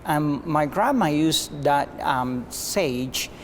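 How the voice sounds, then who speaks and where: A man's voice: on the high side, expressive, a little hiss or hum. Earnest male doctor from Cuba. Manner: measured, earnest, frequent restarts. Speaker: guest physician. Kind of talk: conversational interview answers.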